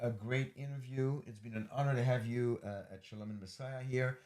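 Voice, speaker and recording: A man's voice: medium pitch, fairly even intonation, brisk, a little mellow. A middle-aged man hosting a ministry podcast, closing an interview with rambling, filler-heavy but earnest appeals. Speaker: host, ministry leader.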